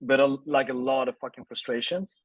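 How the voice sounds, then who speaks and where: Interview guest: male, thirties, mid-pitched, informal halting storyteller, slightly dull close recording. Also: steady tone, no room sound. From Sweden. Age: 30s. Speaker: interview guest, film director. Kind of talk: casual personal storytelling in an interview.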